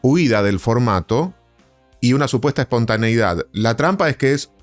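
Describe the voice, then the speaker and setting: A man's voice: mid-range voice, animated, moderate pace, soft background music. A man hosting a podcast, asking questions in a conversational interview style.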